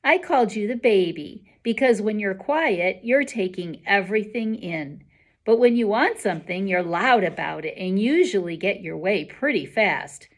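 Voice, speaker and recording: A woman voicing a quiet, observant, bookish boy with a measured, matter-of-fact manner in a storybook. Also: medium pitch, lively intonation. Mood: earnest, measured.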